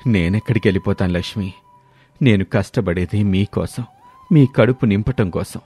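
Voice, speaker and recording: Low, mellow man in a gentle storybook voice. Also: normal speed, breathy edge, faint music behind. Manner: earnest, gentle reassurance. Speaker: voice actor playing the husband. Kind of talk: dramatized storybook dialogue.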